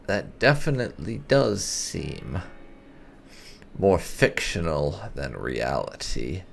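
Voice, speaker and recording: A man's voice: sits low, very animated, slow pace, creaky, a little hiss or hum. A man giving casual roleplay playthrough commentary as a gaming host, musing and thinking aloud.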